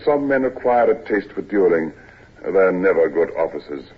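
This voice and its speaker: An actor playing a weary, ailing older naval captain, stern but kindly, in a measured, hesitant old-time radio drama style. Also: male voice, low-pitched, very animated, husky, heavily acted. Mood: firm, admonishing. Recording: dull old radio recording.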